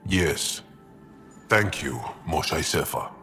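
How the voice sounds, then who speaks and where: A brooding alien resistance fighter in a sci-fi game. He talks slowly and intensely, halting between words with a widely moving pitch. Music plays prominently behind him. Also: lively intonation, pushed and bright, exaggerated voice. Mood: subdued gratitude. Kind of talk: video game voice acting.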